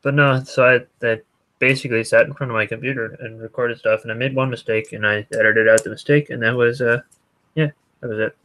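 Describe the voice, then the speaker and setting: A young man chatting casually as a guest, with a dry, deadpan way of teasing. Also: mid-range voice, fairly quick, a little hiss or hum, low-quality recording. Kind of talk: casual chat.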